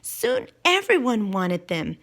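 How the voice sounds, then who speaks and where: A woman reading a picture book aloud to children in an expressive way, drawing out key words and putting questions to her listeners. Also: a little high, takes it slowly, vocal fry.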